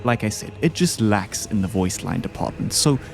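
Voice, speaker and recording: A man delivers casual, opinionated video commentary with a lively, animated pitch. He talks in an informal, slangy way like a host sharing his rankings. Music plays faintly behind him. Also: normal speed, creaky. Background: background music.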